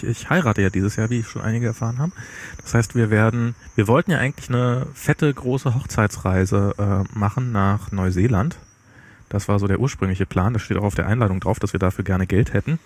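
A man's voice: lowish voice, fairly quick, darkish tone. A young man co-hosting a casual podcast chat, joking and rambling and often correcting himself mid-sentence. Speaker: podcast co-host. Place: outdoor terrace.

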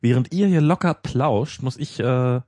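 A man's voice: mid-range voice, unhurried, dark tone, no room sound, studio quality. Wry, teasing man on a podcast. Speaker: podcast co-host. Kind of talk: casual tech chat.

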